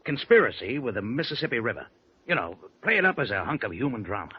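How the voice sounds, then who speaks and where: A man's voice: very animated, quick pace, slight background noise, close to an everyday voice. A man playing a brash pushy newspaperman. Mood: pitching. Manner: pushy, salesman-like pitching. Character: Florney, brash fast-talking male newspaperman. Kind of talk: 1940s radio drama acting. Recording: dull old radio broadcast.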